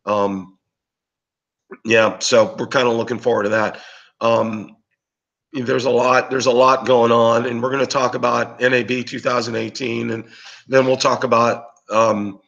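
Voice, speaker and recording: Raspy, hoarse man, low voice. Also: a bit bright, lo-fi sound. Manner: rambling, with many fillers and restarts. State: hoarse from bronchitis. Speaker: livestream show host. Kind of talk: casual live-stream chat with viewers.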